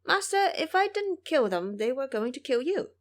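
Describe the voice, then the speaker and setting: A woman's voice: high voice, very animated, moderate pace, pitched above the performer's own voice. A woman voicing a brash, hot-tempered male monkey disciple who boasts and talks back in an audio drama. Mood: defiant. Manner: boastful, retorting, calls himself Elder.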